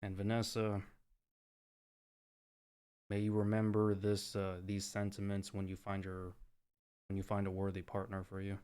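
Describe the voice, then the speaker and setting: A man's voice: lowish voice, monotone, moderate pace, pauses often, mellow. A man chatting casually as the host of an ASMR roleplay livestream, his voice in a long reverb. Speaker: streamer hosting an ASMR roleplay spa. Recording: long reverb, music behind the voice.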